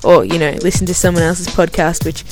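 A woman's voice: on the low side, fairly even intonation, brisk, a little mellow, faint music behind. Chatty Australian woman podcaster. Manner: chatty and self-deprecating, with filler words. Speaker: podcast host. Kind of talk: casual chat, then reading a novel aloud.

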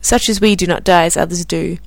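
A woman voicing a calm, forgiving mystical forest woman in a read-aloud book, in a gentle, unhurried low voice. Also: no room sound, close to an everyday voice. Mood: serene.

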